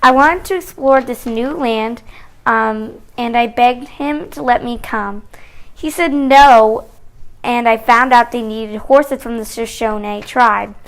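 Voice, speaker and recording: Eager young woman guide young woman reading, fairly high, plain. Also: slow pace. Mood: pleased. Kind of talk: school-project role-play reading.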